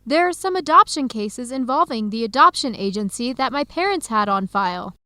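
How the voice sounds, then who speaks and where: A young woman playing an earnest researcher searching for her birth family in an educational radio drama, speaking clearly and conversationally. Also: a little high, animated, a little fast, sharp tone, no echo. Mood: excited, matter-of-fact. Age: young adult.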